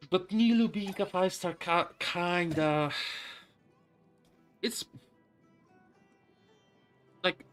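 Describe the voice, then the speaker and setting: Slow-talking male game streamer. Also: mid-range voice, sharp tone. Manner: hesitant, rambling, thinking aloud. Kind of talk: casual live gaming commentary.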